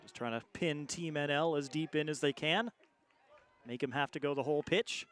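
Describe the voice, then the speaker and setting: A man giving measured, conversational analysis as colour commentator on a live sports broadcast. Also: a little high, fairly bright tone.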